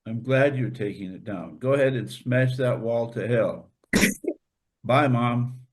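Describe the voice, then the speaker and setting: A blunt, eager schoolboy in a stage play a man acts him out. He speaks casually and frankly, at an ordinary male pitch. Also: slow pace, close to an everyday voice. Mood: frank, defiant. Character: schoolboy son, frank, eager, fed up with walls. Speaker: actor in a staged reader's theater.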